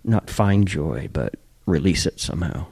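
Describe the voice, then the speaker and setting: A man's voice: low voice, expressive, a little slow, vocal fry, darkish tone, breathy edge, dry recording. A man modestly and tentatively sharing his meditation experience in a group. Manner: modest, self-deprecating, tentative.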